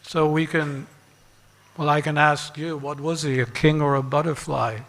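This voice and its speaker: A man giving a religious sermon as a monk and teacher, deliberate, pausing and repeating phrases for emphasis. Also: fairly high pitch, steady tone, fairly bright tone. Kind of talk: sermon with parables and rhetorical questions. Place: large reverberant temple hall. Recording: long hall reverb.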